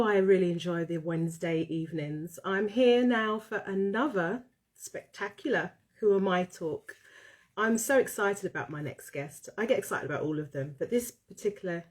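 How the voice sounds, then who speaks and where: A British woman with a nasal, congested voice from a cold, hosting a live online chat show in an effusive way, often repeating her phrases. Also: mid-range voice. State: has a cold. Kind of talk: casual live chat interview. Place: live video call.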